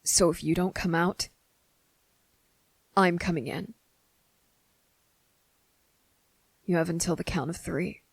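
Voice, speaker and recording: Woman doing a blunt wary kid boy for asmr roleplay, creaky voice, super dry close recording, hesitant. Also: on the low side, steady tone, no echo, rough recording. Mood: impatient, wary. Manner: hesitant, deliberate, with pauses. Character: wary, blunt but softening young boy. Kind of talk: ASMR roleplay audio drama.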